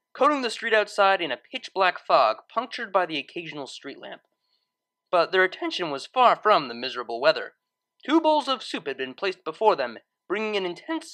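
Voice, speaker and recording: A woman reading scripted fiction as narrator in an expressive storytelling manner. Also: fairly low pitch.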